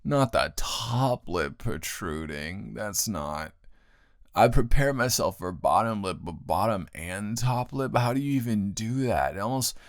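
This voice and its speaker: A man playing a grumpy, tired young male roommate who is secretly smitten, in a halting, exasperated, intimate improvised audio roleplay. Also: animated, no echo. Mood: exasperated, teasing. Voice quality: very deep, gravelly, close and dry.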